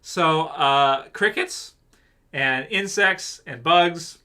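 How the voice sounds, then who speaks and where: Bright-voiced livestream host. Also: male voice, fairly high pitch, extremely slow. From Canada. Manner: rambling, joking, talks back to chat. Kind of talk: casual livestream chat.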